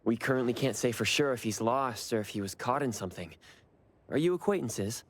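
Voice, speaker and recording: An actor playing a calm, polite male investigator in a video game, speaking in a measured, matter-of-fact way. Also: mid-range voice, natural voice. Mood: composed, helpful. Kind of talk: video game dialogue acting.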